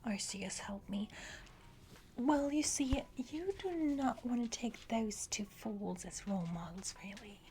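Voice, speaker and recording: A woman's voice: a little high, unhurried, dark tone, slight background noise, close to an everyday voice. A woman playing a self-important young criminal henchwoman in an ASMR roleplay, whispering breathily and hesitantly close to the microphone. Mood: confiding, dismissive. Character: boastful, eager young female criminal henchwoman.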